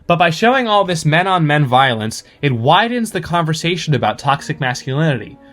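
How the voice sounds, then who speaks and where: A man giving prepared opinion commentary as a podcast listener, in an articulate, measured, essay-like way. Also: a little high, sing-song, fairly bright tone.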